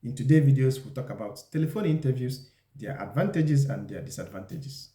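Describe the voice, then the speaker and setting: A man presenting an instructional talk, explaining things deliberately and speaking straight to the viewer. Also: mid-range voice, animated, dark tone. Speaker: video presenter giving job interview advice. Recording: echoey, roomy sound.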